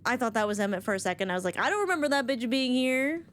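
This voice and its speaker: A woman's voice: a little high, expressive, fast talker, fairly bright tone. A woman streamer giving casual live reaction commentary on a game, chatty and musing aloud. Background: game music.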